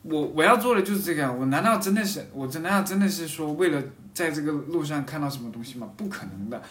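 A man in casual, reflective conversation, telling personal stories haltingly, often repeating and rephrasing his phrases. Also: fairly high pitch, lively intonation, a bit bright. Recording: roomy echo with faint background noise.

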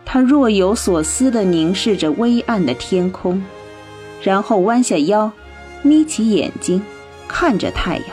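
A woman reading scripted narration for a radio drama in an expressive storytelling manner. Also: mid-range voice, measured pace, faint music behind.

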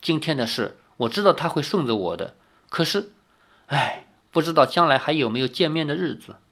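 A man voicing a spirited, pampered young woman skilled in martial arts, speaking in a raised, softer voice. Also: on the high side, sing-song, brisk. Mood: wistful.

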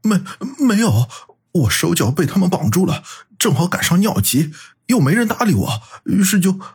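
A whiny, cowardly young sidekick, husky. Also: male voice, high voice, sing-song, normal speed, a little mellow, voice pushed up, exaggerated voice. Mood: nervous, defensive. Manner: stammering, pleading, whiny. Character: cowardly young hanger-on brother-in-law, whiny and defensive. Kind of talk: audiobook character acting.

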